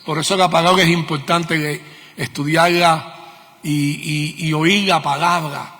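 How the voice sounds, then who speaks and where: High, bright-voiced Cuban preacher in a hall. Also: male voice, fairly even intonation, a little slow. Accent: Cuban Spanish. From Cuba. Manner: emphatic, didactic, repeats key words. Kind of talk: sermon, teaching with questions to the congregation. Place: church meeting hall. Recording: long reverb of a hall.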